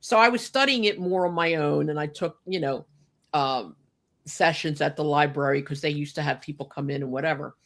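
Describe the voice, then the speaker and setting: A woman's voice: sits low, brisk, fairly bright tone. Older woman telling stories, chatty and emphatic. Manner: chatty, emphatic, conversational asides. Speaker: podcast host telling personal memories. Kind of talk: casual, unscripted storytelling.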